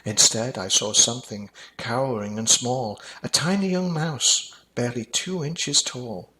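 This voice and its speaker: An older man narrating a rhyming children's story as a storyteller, in an expressive, dramatic manner. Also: mid-range voice, unhurried, poor audio.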